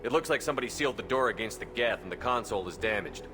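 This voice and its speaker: A man playing a calm, steady military commander in a video game, speaking in a brisk, matter-of-fact way. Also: mid-range voice, natural voice. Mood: businesslike. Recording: game audio under loud music. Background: loud music.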